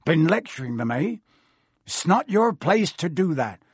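A man voicing a big gruff gamekeeper who talks bluntly with country words. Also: on the high side, very animated, measured pace, no room sound, studio quality, pitched above the performer's own voice, exaggerated voice. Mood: stern.

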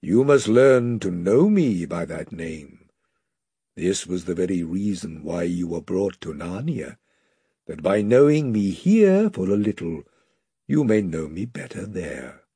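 A man voicing a great, wise male lion who speaks gravely, slowly and tenderly. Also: low-pitched, sing-song, mellow, dry recording, clean studio sound, pitched below the performer's own voice, full character voice. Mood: solemn tender.

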